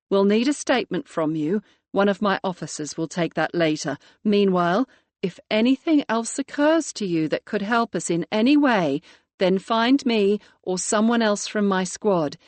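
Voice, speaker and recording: A woman voicing a brisk, firm female police detective who speaks crisply and with authority. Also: medium pitch, no echo, lightly acted.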